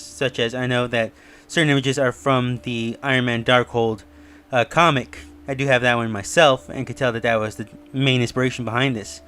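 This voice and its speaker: A man's voice: mid-range voice, fairly bright tone, a little hiss or hum. A man giving casual, speculative video commentary in a musing, slightly rambling way as host. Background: music under the voice.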